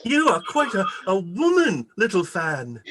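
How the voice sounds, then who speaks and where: A man's voice: wildly swooping pitch, measured pace, noisy background, heavily acted. A man playing a nervous, deferential schoolboy in a radio play, hesitant and stammering. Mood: surprised, fond. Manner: stammering, hesitant, high-pitched.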